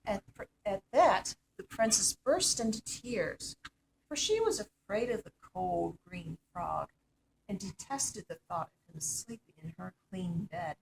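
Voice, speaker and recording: A woman reading a children's story aloud as an expressive narrator. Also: mid-range voice, a little slow, creaky, noisy background. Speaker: storytime reader.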